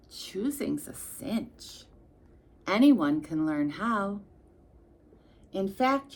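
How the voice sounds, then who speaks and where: Female storytime reader for little kids. Unhurried delivery, lively swooping pitch, talks directly to the children. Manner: expressive, sing-song, addressing children directly. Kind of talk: read-aloud storytime for young children with chatty asides.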